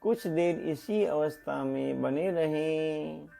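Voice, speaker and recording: A man guides a meditation at an extremely slow pace, in a soft-edged, mellow tone. His delivery is scripted, soothing and deliberate, leaving pauses and gently repeating phrases. Meditation music plays behind his voice. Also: a little high, creaky.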